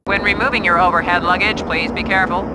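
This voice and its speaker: Dropship pilot, female actor: game role, cocky, wry, brisk, over clear engine noise. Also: fairly low pitch, fairly even intonation, lightly acted. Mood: mock polite. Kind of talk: video game unit quotes.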